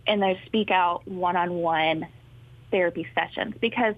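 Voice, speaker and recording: A woman speech therapist explaining clearly and patiently to interviewers in a friendly, informative way. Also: fairly low pitch, fairly even intonation, unhurried. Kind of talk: explaining in a talk show interview. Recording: thin phone-line sound, dry.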